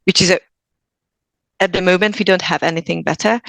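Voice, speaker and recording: A woman's voice: fairly low pitch, fairly even intonation, fairly quick, vocal fry, fairly bright tone, dry recording. A woman from Hungary with a Hungarian accent, a guest actor speaking earnestly in a casual podcast interview, often restarting her sentences. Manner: earnest, thoughtful, with frequent restarts.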